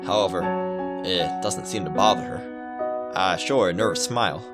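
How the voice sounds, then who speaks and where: Male let's player, lower register, narrates a visual novel with jokey asides over prominent music. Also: moderate pace. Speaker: video game let's player. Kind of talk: visual novel read-aloud with joking commentary. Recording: music under the voice. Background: game music.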